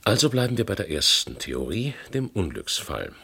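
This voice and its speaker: An actor playing a probing, politely ironic and sceptical investigator in a German radio play, questioning formally and deliberately with a gravelly, husky voice. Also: male voice, fairly low pitch, sing-song, sharp tone, exaggerated voice. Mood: dry irony.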